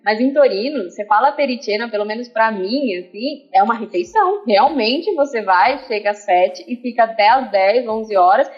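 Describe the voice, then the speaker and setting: A woman's voice: fairly high pitch, expressive, normal speed, hardly any pauses, muffled recording. A woman guest from Bahia, chatting casually on a video call and mixing in Italian phrases. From Bahia, Brazil. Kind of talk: casual chat.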